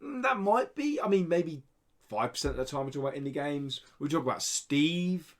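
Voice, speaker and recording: A man's voice: a little high, expressive, moderate pace. A British man hosting a podcast in casual, jokey chat full of slang. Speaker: podcast host.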